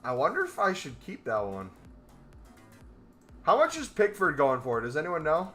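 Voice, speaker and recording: A man's voice: a little high, very animated, moderate pace, fairly bright tone, faint music behind. A young man in casual live-stream commentary, laid-back and talking to his chat from a small room. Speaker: trading streamer. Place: small room indoors, gaming chair.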